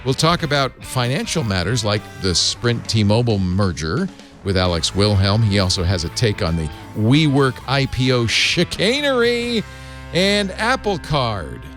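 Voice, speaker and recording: Animated man hosting a tech show. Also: medium pitch, soft background music. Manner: animated, jokey, reads ads briskly. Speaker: host.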